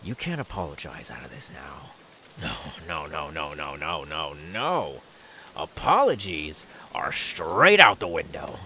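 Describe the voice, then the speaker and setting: A man's voice: very deep voice, big pitch swings, measured pace, bright, forceful tone, slight background noise, heavily acted. A man voicing a menacing, taunting killer simmering with anger in an ASMR audio roleplay, drawing out repeated words. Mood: scornful.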